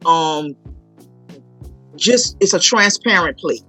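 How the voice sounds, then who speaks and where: A woman's voice: fairly low pitch, expressive, takes it slowly, bright, forceful tone, dry recording, soft background music, poor audio. A woman, chatting casually as an interview guest and telling stories in an emphatic, repetitive way. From New Orleans, United States. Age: 40s. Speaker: guest, playwright and stage actor. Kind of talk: casual promotional interview chat.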